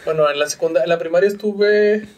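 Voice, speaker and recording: A man's voice: sits high, fairly quick, darkish tone. A man in his thirties from Chihuahua with a northern Mexican accent, a podcast guest chatting casually in a slangy, laid-back way with free swearing. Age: 30s. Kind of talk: casual chat.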